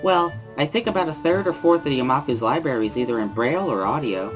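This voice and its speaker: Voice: medium pitch, expressive, fast talker, over music, pitched above the performer's own voice, close to an everyday voice. A performer voicing a jittery, over-apologetic young woman librarian in a dramatic reading, flustered and rambling. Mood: anxious.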